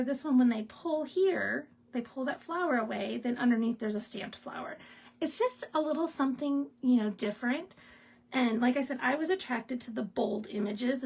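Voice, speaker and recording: A woman hosting a live stamping demo, raspy and clearing her throat from asthma, chatting in a rambling way with laughs and asides. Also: on the high side. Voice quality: gravelly, husky. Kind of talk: casual live craft demo chat.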